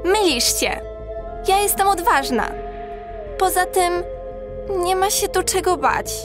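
A woman's voice: extremely high pitch, lively intonation, slow pace, over music, full character voice. A woman voicing a timid young giraffe girl who pretends to be brave, nervous and defensive, in a children's audio play.

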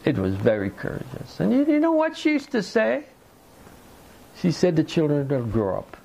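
An older man preaching a sermon from a lectern in a small room, slow and deliberate with pauses. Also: a little high, huge pitch swings, vocal fry, darkish tone, rough recording. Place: small indoor room by a fireplace mantel.

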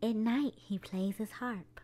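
A woman's voice: mid-range voice, takes it slowly, mellow. A woman hosting a children's storytime, reading a picture book aloud expressively to young children, with music behind her.

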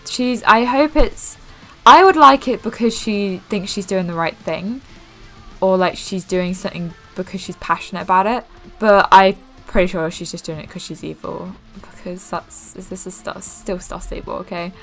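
Young woman streamer, mid-pitched, flat and rambly, faint game music behind. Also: moderate pace, poor audio. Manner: self-described monotone, rambling with fillers. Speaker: gaming channel host. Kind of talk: casual gameplay commentary.

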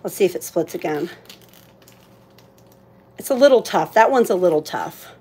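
A woman's voice: on the low side, animated, moderate pace, dark tone, husky. A woman hosting a live auction in casual, chatty talk, thinking aloud as she handles items. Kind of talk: casual livestream sales chat.

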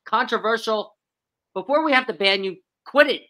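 Bubbly woman host on a video call, bright slightly hoarse voice, really emphatic. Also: on the high side, animated, moderate pace, low-quality recording. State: hoarse from lost voice. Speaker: game show host. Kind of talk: live video call game show.

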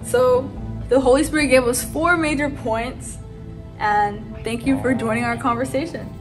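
A woman's voice: sits high, fairly even intonation, normal speed, over music. A woman co-host and apostle in a welcoming manner, introducing a discussion on faith.

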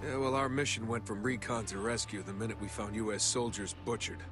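A man's voice: on the low side, fairly even intonation, a little fast, lightly acted. A man playing a resolute, commanding special forces captain who talks tersely in a video game. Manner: terse, authoritative. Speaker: squad leader. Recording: echoey, music behind.

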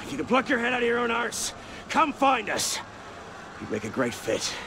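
An actor playing a gruff, middle-aged prisoner mentor in a game cutscene, gravelly, taunting and commanding. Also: male voice, very high-pitched, wildly swooping pitch, normal speed, exaggerated voice. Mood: taunting, urging. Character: gruff, weathered older male prisoner, a mocking assassin mentor. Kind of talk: video game cutscene acting. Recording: noisy game audio with outdoor ambience.

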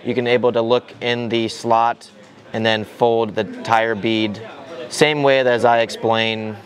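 A man's voice: mid-range voice, flat delivery, measured pace. A young man casually demonstrating a hands-on task, with lots of fillers and restarts as he explains step by step. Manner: loose, filler-heavy explaining with many restarts. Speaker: RC racer demonstrating tire prep. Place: large indoor hall with people at tables. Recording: voice over crowd chatter in a big hall. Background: crowd chatter in the hall.

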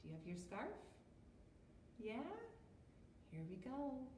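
An American woman in her thirties hosting a toddler storytime in an encouraging, animated, sing-song way. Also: medium pitch, takes it slowly, reverberant, recorded from a distance, slight background noise. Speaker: children's storytime host. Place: small plain room indoors.

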